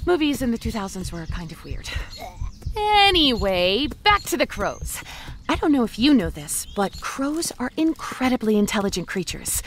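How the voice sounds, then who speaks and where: A woman's voice: a little high, a little hiss or hum. A woman playing a chatty, panicky, friendly young zombie who rambles and quips to the listener in a comedy audio drama. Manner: rambling, quippy, self-interrupting.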